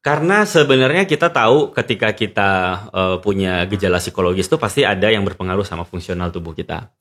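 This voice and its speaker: Male podcast guest, low voice, Jakarta accent, casual explainer mixing in English terms. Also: expressive, quick pace, a bit bright. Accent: Jakarta Indonesian. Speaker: podcast guest, psychology commentator.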